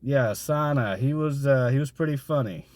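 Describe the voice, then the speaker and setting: A man's voice: medium pitch, normal speed, darkish tone, dry recording. A man co-hosting a casual podcast, joking and laughing often as he recaps a convention. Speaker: podcast co-host.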